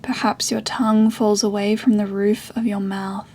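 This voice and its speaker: A woman leading a guided meditation as a yoga teacher, speaking softly and breathily in a soothing way with long pauses. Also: mid-range voice, level tone.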